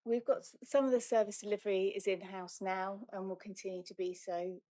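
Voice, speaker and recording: A woman's voice: medium pitch, steady tone, a little fast, dark tone, slight background noise. A woman, a council officer, answering briefly and to the point at a meeting.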